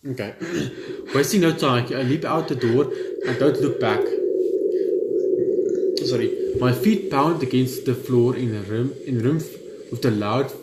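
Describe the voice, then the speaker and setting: A man's voice: mid-range voice, a little slow, pauses often, heavy background noise. Man in an echoey room reading game text. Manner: stumbles over words when reading aloud. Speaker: gaming video host. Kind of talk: let's play commentary, reading game text aloud. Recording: roomy echo, faint game music.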